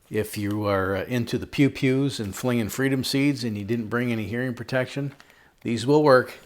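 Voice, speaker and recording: A man's voice: medium pitch, slight background noise. A middle-aged American man chatting casually through a product review in a folksy, jokey, storytelling way. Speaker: host of a product review video.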